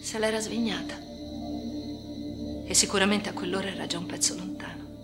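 A woman's voice: medium pitch, steady tone, brisk, lightly acted. Actress as intense, troubled young woman over music. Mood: wistful, hushed. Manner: intense, swinging between hushed and agitated. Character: troubled, defiant young woman recounting her hospital confinement. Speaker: radio drama actress. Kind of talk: dramatic first-person radio monologue. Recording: music under the voice.

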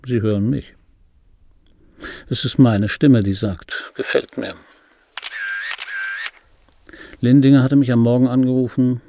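An ageing dubbing actor looking back with wry obsession in a radio play. The voice is mellow and dark, with a heavy creak and a husky rasp. He weighs each phrase, pausing and repeating himself in an intimate monologue. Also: medium pitch, no room sound, clean studio sound. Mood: musing, precise. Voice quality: gravelly, husky. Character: ageing male dubbing actor recalling his screen star, wry, obsessive.

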